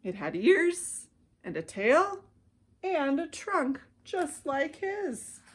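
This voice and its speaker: Slow, animated American woman reading a storybook. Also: sits high. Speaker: children's ministry director reading stories. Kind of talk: expressive children's storybook narration.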